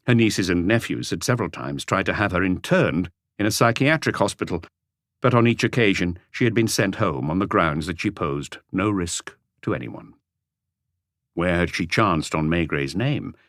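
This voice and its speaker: A male audiobook narrator with a deep voice, telling a mystery story. His intonation moves a lot, and the recording is close and dry. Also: a bit bright, dry recording.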